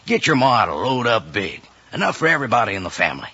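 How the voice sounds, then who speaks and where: An actor playing a jolly old cowboy pitchman with a gravelly, drawling voice in an old radio commercial. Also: fast, exaggerated voice. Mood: jolly, chummy. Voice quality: husky, gravelly. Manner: drawling, chummy, animated. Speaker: character pitchman in cereal commercial. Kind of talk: 1950s radio ad, cowboy character. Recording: dull old radio recording.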